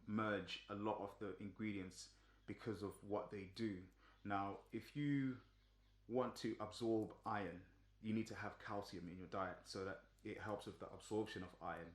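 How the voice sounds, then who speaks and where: A man from Zimbabwe giving a casual, relaxed explanation, with lots of ums and restarts. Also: lowish voice. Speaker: vlogger. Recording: echoey room.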